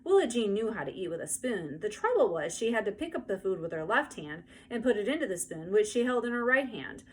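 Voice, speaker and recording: A woman narrating a children's book aloud in an expressive storytelling style. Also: medium pitch, brisk, breathy edge, low-quality recording. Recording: faint background noise.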